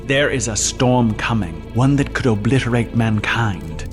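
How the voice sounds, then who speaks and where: An actor playing a calm, commanding envoy making a persuasive offer in a sci-fi audio drama. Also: male voice, medium pitch, close to an everyday voice. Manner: persuasive, grave, measured. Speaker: envoy of an immortal society. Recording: music bed under the voice.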